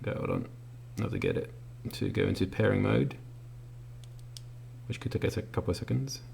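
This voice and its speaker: A man reviewing a product in a casual hands-on demonstration, explaining it step by step in a halting way. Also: lowish voice, creaky, a little mellow, slight background noise. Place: indoors at a work desk.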